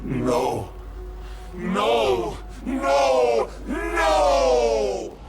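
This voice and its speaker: A shouting, wrathful demigod villain. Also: male voice, extremely high pitch, sing-song, drawn-out words, creaky, loud music behind the voice, a loud crowd, heavily acted. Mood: shocked fury. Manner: theatrical, menacing, shouting. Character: wrathful male demigod, tyrant villain bent on destroying humanity. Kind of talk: video game cutscene acting.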